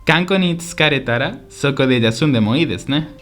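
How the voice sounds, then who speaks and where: A man playing a polite, eager tourist from Spain in a scripted language-lesson radio drama, speaking in simple, clear sentences. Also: a little high, expressive, fairly quick, fairly bright tone, soft background music. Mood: interested, pleased. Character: polite, eager Spanish tourist visiting Osaka.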